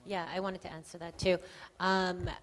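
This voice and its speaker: Brief: female panelist, lowish voice, sincere and measured. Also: flat delivery. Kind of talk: personal testimony on a panel.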